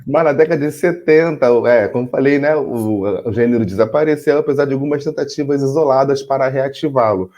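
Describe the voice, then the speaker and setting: A man's voice: medium pitch, fairly quick, hardly any pauses, a little mellow. A Brazilian man co-hosting a casual chat, playful and jokey, reading facts and adding his own sound effects. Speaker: co-host.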